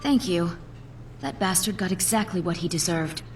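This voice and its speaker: An actress playing a bitter, jilted alchemist in a video game, with a clipped, haughty, wounded manner. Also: female voice, lowish voice, normal speed, soft background music, close to an everyday voice. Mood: curt, bitter. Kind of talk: scripted game dialogue.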